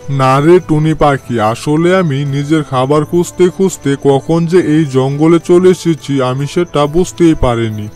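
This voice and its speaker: A man's voice: fairly high pitch, a little slow, darkish tone, faint music behind, natural voice. A man voicing an arrogant, bullying tiger who rules the jungle in a cartoon moral story, with a domineering manner. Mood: humbled, pleading. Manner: domineering, threatening, scolding.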